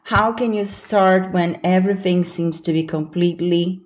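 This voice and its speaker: A woman's voice: lowish voice, fairly even intonation, normal speed. A young adult woman from Brazil with a Brazilian accent, hosting a solo podcast in an earnest, encouraging way and laughing easily. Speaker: podcast host. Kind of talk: solo motivational podcast talk.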